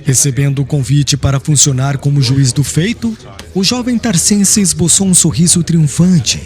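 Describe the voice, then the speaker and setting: A man's voice: on the high side, a little mellow. A man narrating an audiobook in a solemn, formal, dramatic literary style. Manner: solemn, formal, measured phrasing. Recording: clear music bed under voice.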